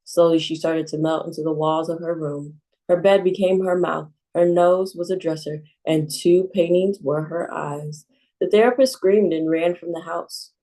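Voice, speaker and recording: Librarian lady reading a kids book, low mellow voice, calm. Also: normal speed, rough recording. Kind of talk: children's book read-aloud.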